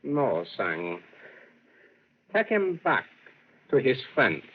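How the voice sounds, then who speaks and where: Suave, menacing captor general, swooping pitch. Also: male voice, huge pitch swings, very slow, a little hiss or hum, full character voice. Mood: coolly menacing. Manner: formal, smoothly ironic. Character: suave, menacing male general holding captives hostage. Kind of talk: old-time radio drama acting. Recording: muffled vintage radio recording.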